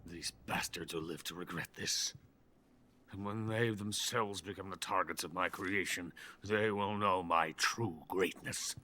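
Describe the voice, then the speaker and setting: An actor playing a drunk, boastful older Soviet weapons scientist in a video game cutscene. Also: male voice, sits low, steady tone, a little slow, pushed and bright, a little hiss or hum. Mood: bitter, scheming. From Soviet Union. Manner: pompous, self-important, bitter.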